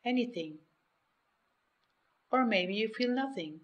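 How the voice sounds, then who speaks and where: Woman guiding a meditation, measured phrasing. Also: fairly high pitch, expressive, lo-fi sound. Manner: measured, instructional, short phrases with pauses. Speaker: mindfulness meditation guide. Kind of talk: guided meditation, scripted narration.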